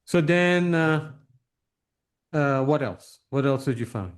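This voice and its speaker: A man hosting a casual interview, asking probing questions in a halting way and often repeating words. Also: a little high, clean tone. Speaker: interview host.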